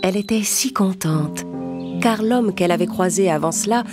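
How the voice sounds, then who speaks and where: A woman narrating children's fairy tales from a script in a measured, expressive storytelling manner. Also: medium pitch. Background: music under the narration.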